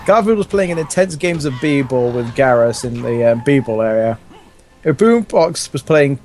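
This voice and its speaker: Lively young man reading fan fiction. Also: medium pitch, moderate pace. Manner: jokey, stumbles over lines. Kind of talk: casual story reading with banter.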